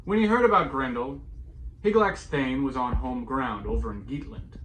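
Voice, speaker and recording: A man narrating epic verse as a dramatic storyteller, solemn and theatrical, with deliberate pauses and swells. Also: medium pitch, a bit bright, shouting. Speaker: storyteller performing an epic poem. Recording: clearly noisy recording, background ambience.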